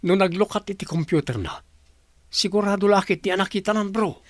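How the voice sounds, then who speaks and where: An actor playing a casual, chummy young office worker in a radio drama. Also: male voice, sits high, brisk, no echo, studio quality, close to an everyday voice.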